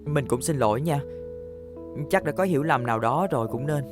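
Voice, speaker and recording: A man playing a proud, earnest boy racer in a dubbed animated series. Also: mid-range voice, fairly quick, music in the background, close to an everyday voice. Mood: regretful, earnest. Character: proud, easily offended boy racer, loyal at heart.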